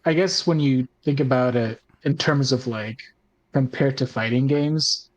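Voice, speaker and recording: A man co-hosting a podcast in casual roundtable chat, speaking informally and haltingly with plenty of "like" and some mild swearing. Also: mid-range voice. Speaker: podcast co-host and tabletop player.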